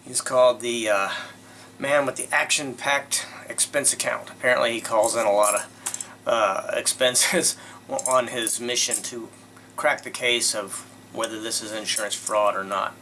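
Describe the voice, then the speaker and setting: A man hosting with casual commentary, talking in an informal, halting way. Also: medium pitch, steady tone, bright, forceful tone, slight background noise. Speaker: host.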